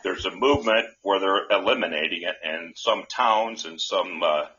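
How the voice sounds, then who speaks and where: A man who hosts a call-in show as a candidate, answering questions in a halting, rambling way full of restarts. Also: sits low, sharp tone. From North Dakota. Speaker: senate candidate, show host. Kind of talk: rambling live call-in chat. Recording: dull lo-fi live stream audio.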